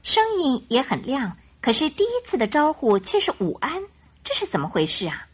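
Lively, husky woman storyteller. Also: high-pitched, moderate pace. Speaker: narrator of a radio drama. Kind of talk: expressive storytelling narration. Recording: music behind the voice.

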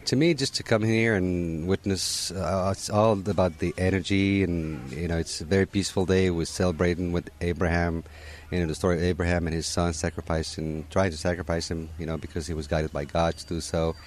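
A man from Morocco, answering questions at an outdoor holiday gathering in a relaxed, conversational way, often saying "you know" and restarting his sentences. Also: low-pitched, fairly quick.

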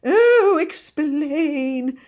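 A woman's voice: sits high, big pitch swings, slow pace, mellow, muffled recording, voice pushed up, heavily acted. A woman voicing a credulous, gushing spinster cousin in a story, breathless and drawn out in her fervent way of speaking. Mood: ecstatic pleading.

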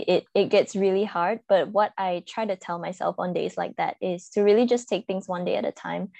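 A woman with a Malaysian accent speaks as an interview guest, explaining things reflectively and informally. Her voice is mellow and relaxed at a middle pitch, and the sound is very dry and close. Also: creaky, no echo. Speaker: singer-songwriter guest. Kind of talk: conversational interview answers.

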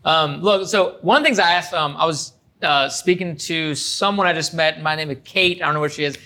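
A man hosting a casual interview, speaking haltingly and repeating words and phrases for emphasis. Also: fairly high pitch, pushed and bright. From Iran. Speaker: interview host.

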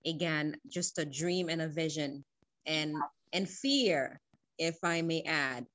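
A woman's voice: sits low, takes it slowly, fairly bright tone. A middle-aged woman from Haiti, an interview guest telling her own story in an earnest, reflective way. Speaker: guest, school founder.

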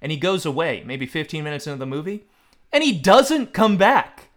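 A man's voice: on the high side, very animated, moderate pace, fairly bright tone. A man hosting a podcast film quiz with joking banter and a mock-formal quizmaster delivery. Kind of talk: comic film trivia banter.

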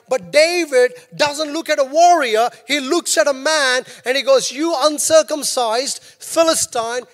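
A man preaching from the pulpit in a voice pitched unusually high, driving his points home by saying them twice. His words ring out through a large church hall with a long reverb trailing behind them. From New Zealand. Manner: emphatic, repeats key lines. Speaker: pastor. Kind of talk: sermon.

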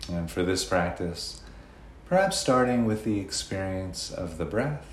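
A man leading a guided meditation in a gentle, unhurried way, leaving long pauses between phrases. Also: deep voice, animated. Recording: roomy echo, faint background noise.

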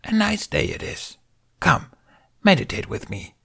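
A man voicing a tiny, ancient, serene alien master who speaks in inverted, riddling sentences with a high, half-whispered voice. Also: wildly swooping pitch, a little slow, gravelly, breathy edge, full character voice. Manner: inverted word order, gentle and riddling. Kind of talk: audiobook character voice.

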